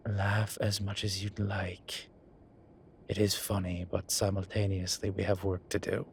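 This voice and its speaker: Slow, low-voiced suave demon professor played by a man. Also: monotone, creaky, slight background noise. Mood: smug, wry. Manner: formal, measured, teasing, wry. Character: suave male incubus demon professor, wry, vain, unhurried. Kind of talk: scripted audio roleplay.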